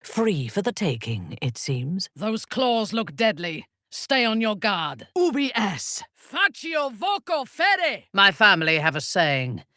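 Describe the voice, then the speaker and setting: A woman voicing a ruthless, haughty dark elf warrior commander in a video game, speaking in an imperious, formal, menacing way. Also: medium pitch, sing-song, slow pace, few pauses, no room sound, studio quality, exaggerated voice. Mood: wary, measured, then incanting.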